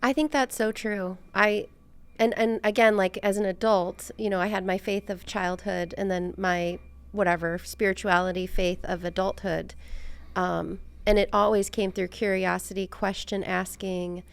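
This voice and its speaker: A woman's voice: mid-range voice, fairly even intonation, moderate pace, gravelly, clean tone. A middle-aged American woman hosting a podcast in casual, reflective, rambling and self-deprecating conversation. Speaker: podcast host.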